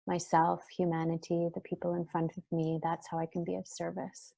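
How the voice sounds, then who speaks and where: A woman with a soft voice, talking in a gentle, searching way as an interview guest, with many fillers and restarts. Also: on the low side, monotone, fairly quick, creaky. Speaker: guest, breathwork trainer. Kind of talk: reflective interview talk.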